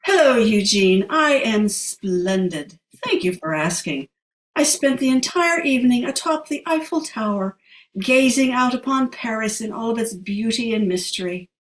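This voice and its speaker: A woman's voice: on the high side, expressive, moderate pace, distant mic, lightly acted. A woman playing a grand, wistful, forgetful elderly lady in an audio play, rambling dramatically. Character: wistful, grand, dotty elderly female club member. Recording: roomy echo, off the mic.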